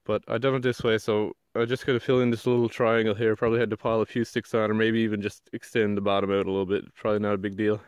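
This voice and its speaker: A man's voice: on the low side, quick pace, hardly any pauses, clean tone, no room sound, studio quality. A young man, chatting casually to the camera outdoors in a forest while building a shelter and explaining each step. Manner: relaxed, chatty, explains as he works. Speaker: bushcraft vlogger. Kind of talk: casual narration while working. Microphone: handheld or mounted action camera.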